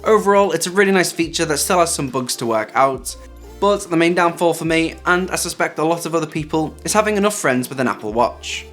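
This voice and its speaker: A man's voice: fairly high pitch, expressive, brisk, a bit bright. A man hosting a tech video, narrating a scripted hands-on review. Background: background music.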